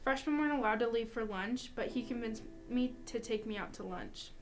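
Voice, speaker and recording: Young female student reader, fairly high voice, first-person account read over music. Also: fairly quick. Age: young adult. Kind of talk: reading a personal account aloud.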